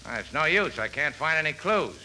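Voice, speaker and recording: Bossy police captain, impatient, very bright edgy voice, faintly noisy 1940s radio recording. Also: male voice, fairly high pitch, expressive, brisk. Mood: businesslike. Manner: forceful, commanding. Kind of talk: 1940s radio comedy acting.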